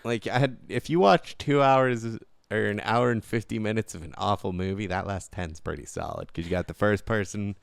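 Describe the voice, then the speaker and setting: A man with a low voice and plenty of vocal fry co-hosts a podcast. He chats informally, blunt and offhand in his judgments. The recording is dry and close. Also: normal speed, hardly any pauses, no room sound. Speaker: podcast co-host. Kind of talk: casual chat, movie and game commentary.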